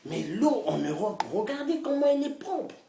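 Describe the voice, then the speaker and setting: Woman activist, fiery rant, wildly lively pitch, recorded from a distance. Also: normal speed, background noise. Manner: fiery and repetitive, swinging from loud outbursts to hushed whispers. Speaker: political activist. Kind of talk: impassioned political rant to camera. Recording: roomy echo, voice far from the mic.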